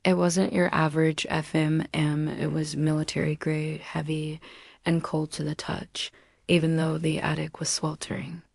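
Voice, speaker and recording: Low-pitched, gravelly woman narrating horror. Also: flat delivery, normal speed, no echo, studio quality. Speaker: storyteller and channel host. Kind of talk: scripted horror story narration.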